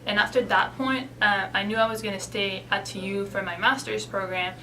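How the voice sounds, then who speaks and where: A young woman from Belgium talks through her answers in an easy, chatty way, pausing now and then. She sounds a bit away from the mic, with faint noise behind her. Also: medium pitch, steady tone, sharp tone, recorded from a distance, poor audio. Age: 20s. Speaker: interviewee. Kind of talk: casual interview.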